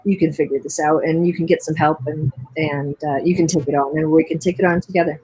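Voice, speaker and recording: A woman's voice: low-pitched, steady tone, fast talker, warm tone, slight background noise, poor audio. Chatty, encouraging American woman host. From Indiana, USA. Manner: chatty, encouraging, many fillers and restarts. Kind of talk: casual video chat.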